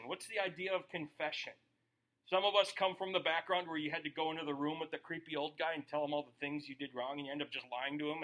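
A man's voice: a little high, sharp tone, low-quality recording. A man preaching a sermon in a casual, joking way, often asking the audience questions. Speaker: pastor.

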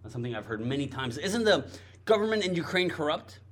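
A man's voice: on the high side, big pitch swings, fast. A man from Mexico with a Mexican accent, a Spanish speaker giving an earnest, emphatic sermon-style talk about mission work. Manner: earnest, emphatic, repeats phrases for weight.

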